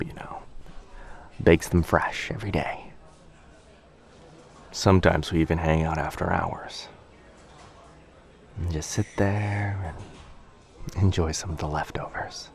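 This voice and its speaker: Male actor as shy young coffee shop crush: low, creaky, soft and apologetic, intimate ASMR delivery. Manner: hesitant, apologetic, halting, often dropping to a breathy near-whisper. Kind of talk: boyfriend ASMR roleplay, soft intimate acting.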